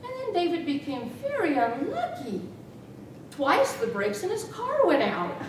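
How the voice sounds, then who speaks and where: Echoey, theatrical high-pitched woman storyteller. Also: huge pitch swings. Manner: theatrical, deliberate storytelling with dramatic emphasis. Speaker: contest speaker. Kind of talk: humorous tall-tale speech to an audience. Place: small meeting room with rows of chairs. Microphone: far camera. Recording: echoey room from far off, noisy rumble.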